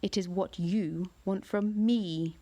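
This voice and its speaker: A woman reading aloud, voicing a huge ancient male tree monster with slow, booming declamation. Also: steady tone, mellow, lightly acted.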